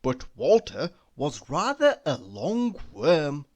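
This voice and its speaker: Female storybook narrator, slightly low pitch, hugely varied intonation, deliberate and dramatic for young listeners. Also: big pitch swings, darkish tone. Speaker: storybook reader. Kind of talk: expressive children's read-aloud narration.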